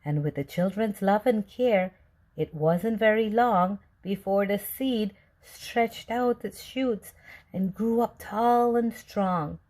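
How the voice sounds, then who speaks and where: A woman reading a children's storybook aloud with deliberate, expressive phrasing. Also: medium pitch. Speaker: storybook reader.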